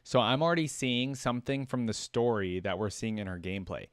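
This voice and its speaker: A man's voice: medium pitch, a little fast, clear, resonant tone, dry recording, clean studio sound. A man hosting a reaction video, commenting casually and informally as he thinks aloud.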